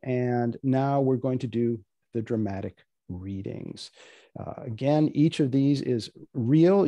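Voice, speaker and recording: An older man hosts an informal online event in a deliberate, dry way. His voice is soft-edged and creaky, picked up closely with very little room sound. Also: medium pitch, no echo. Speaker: host introducing readers. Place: indoor room on video call.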